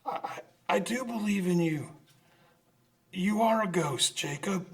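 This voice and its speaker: A man's voice: high voice, animated. A man playing a crotchety, stubborn old miser who stammers and exclaims in an old-time radio drama. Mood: shaken, pleading. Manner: halting, exclamatory, stammering in fright.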